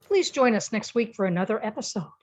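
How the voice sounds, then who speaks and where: A woman's voice: mid-range voice, animated, quick pace, loud music behind the voice. A woman reading scripted narration for a radio comedy. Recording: noisy audio with clicks.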